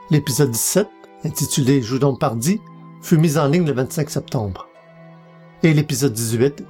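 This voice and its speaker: Mid-pitched man presenting a podcast over music. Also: warm tone. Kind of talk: scripted announcement, year-in-review rundown. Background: faint music.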